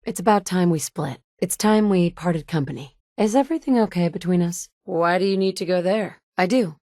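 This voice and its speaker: An actress plays a tough female survivor of the wasteland who talks in a blunt, matter-of-fact way. Her voice sits a little low for a woman. It is recorded close to the mic in a very dry studio booth. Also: moderate pace, warm tone, no room sound, lightly acted. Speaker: player character. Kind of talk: video game voice lines.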